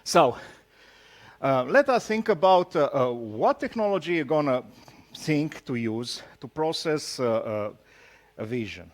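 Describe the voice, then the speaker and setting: A man from Romania with a Romanian accent gives an informal tech talk, speaking haltingly and teasing his audience with jokes. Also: a little high, animated. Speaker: conference speaker, solution architect.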